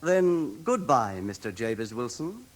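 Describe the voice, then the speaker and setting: An actor playing a brisk, officious, pompous official in a British radio drama, with a British accent and clipped, declamatory delivery. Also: male voice, medium pitch, sing-song. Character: brisk, officious, pompous red-haired male league official.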